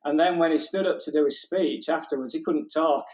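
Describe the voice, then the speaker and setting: A man speaks in a slightly higher register, telling wedding stories from behind the camera. His talk is casual and anecdotal, broken by easy laughter. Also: steady tone, brisk, darkish tone. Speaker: wedding photographer guest.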